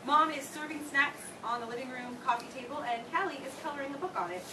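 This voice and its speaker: A woman's voice: high-pitched, fast. A woman reading out stage directions as scripted narration for a play. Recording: echoey, distant, very noisy stage recording.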